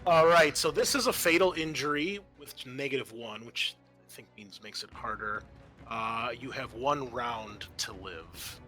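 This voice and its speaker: A man acting as game master, narrating and refereeing a horror roleplaying game in a halting way with stretched, dramatic emphasis. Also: mid-range voice, bright, forceful tone. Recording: background music under the voice.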